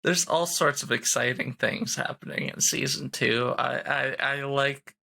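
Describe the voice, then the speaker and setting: Casual player: man, gravelly fry with a bright edge, informal talk. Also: mid-range voice, a little slow. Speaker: player in a tabletop game. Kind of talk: casual chat.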